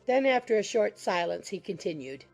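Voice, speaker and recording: A woman narrates a classic novel. Her voice sits at a medium pitch, delivering formal scripted prose as quiet music plays behind. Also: expressive, moderate pace, creaky. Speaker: audiobook narrator. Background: soft background music.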